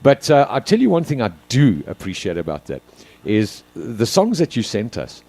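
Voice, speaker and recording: A man co-hosts live radio, chatty and fond of a quip. His pitch climbs and falls freely around a middle level. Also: wildly swooping pitch, normal speed, gravelly, dark tone. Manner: jokey, chatty. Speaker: radio co-host.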